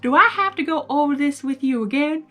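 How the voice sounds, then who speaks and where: A woman's voice: high-pitched, fairly quick, fairly bright tone, faint music behind, voice pushed up. A woman voicing an easygoing, teasing cowgirl farm pony with plain, folksy talk in a storybook reading. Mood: exasperated.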